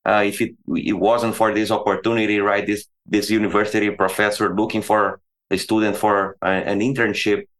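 A man in his forties with a Brazilian Portuguese accent answers interview questions as a guest expert. His voice sits a bit low, and he talks in a halting way, restarting and repeating words as he thinks. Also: level tone, fairly bright tone. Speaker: veterinary professor, podcast guest. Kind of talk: conversational interview.

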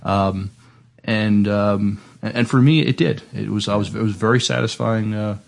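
A man co-hosting a casual film discussion, speaking haltingly and repeating words as he searches. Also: fairly low pitch, fairly even intonation, gravelly. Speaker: podcast co-host.

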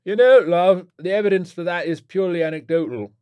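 A rowdy old British rock star, acted out by a male narrator, pitched high and loud. He is brash, slangy and cheerfully wasted, a comic storybook character with a dry, close sound. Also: fairly quick, clean tone, no room sound, close to an everyday voice. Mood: boisterous cheer.

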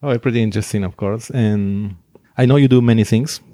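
A man's voice: on the low side, fairly quick, mellow, dry recording, studio quality. A man interviewing a guest in a hesitant, conversational way with many fillers.

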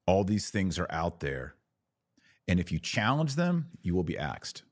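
Deep-voiced American man, dry close recording. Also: wildly swooping pitch, a little slow, no room sound. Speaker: podcast host and commentator. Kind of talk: opinionated church news commentary.

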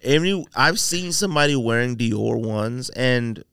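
Male podcast host, American, mid-pitched, slangy and opinionated, conversational storyteller, close dry studio sound. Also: lively intonation, moderate pace, creaky, fairly bright tone, smooth, no echo. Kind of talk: casual panel chat about sneakers.

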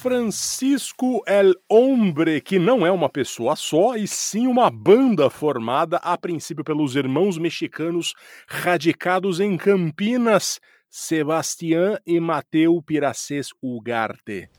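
A man's voice: on the high side, expressive, takes it slowly, a little mellow, no room sound. A man hosting a music show, giving chatty commentary on songs with playful asides, recorded on a close studio mic. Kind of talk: radio-style music commentary.

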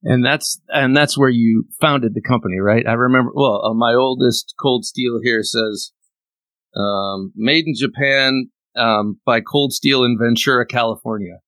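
A man's voice: medium pitch, measured pace, a bit bright, no echo. A man hosting a podcast, asking friendly, curious questions in a casual interview. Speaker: podcast host.

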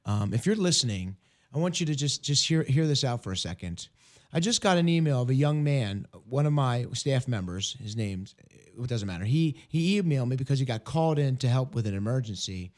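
Hoarse-voiced male radio host. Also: mid-range voice, animated, a little mellow. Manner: earnest, halting with many restarts. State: hoarse from illness. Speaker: ministry program director.

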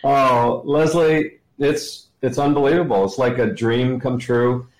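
A middle-aged or older man talking as an interview guest, enthusiastic and halting as he explains. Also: medium pitch, steady tone. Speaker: podcast guest, ministry leader. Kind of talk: conversational interview answers. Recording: roomy, echoey sound.

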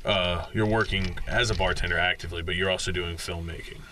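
A man's voice: low-pitched, steady tone, a little fast, pushed and bright, slight background noise. A man co-hosting a casual podcast interview, talking in a rambling, chatty way. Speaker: podcast co-host, bartender.

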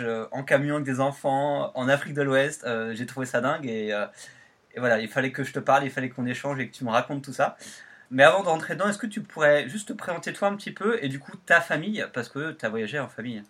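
A man's voice: medium pitch, fairly bright tone. Chatty male podcast host. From France. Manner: chatty, many fillers and restarts. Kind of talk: casual podcast interview.

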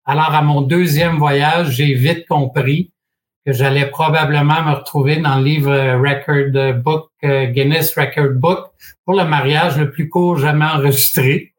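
A man in his sixties from Quebec with a Québécois French accent, a guest in an interview, telling his story in a measured way with frequent hesitations and dry humor. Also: fairly high pitch, steady tone. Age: 60s. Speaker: guest, franchise expert.

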